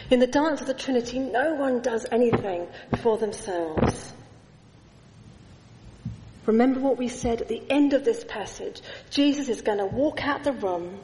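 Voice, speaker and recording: A middle-aged woman preaching a sermon in a church, measured, with slow emphatic lists. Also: a little high, a little mellow. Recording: echoey church hall.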